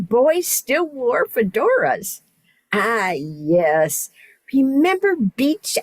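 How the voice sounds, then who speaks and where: Woman playing a kindly old lady, slow and lilting. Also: a little high, no room sound, full character voice. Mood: wistful. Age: older. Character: kindly, wistful, chatty elderly woman reminiscing. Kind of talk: amateur radio play acting.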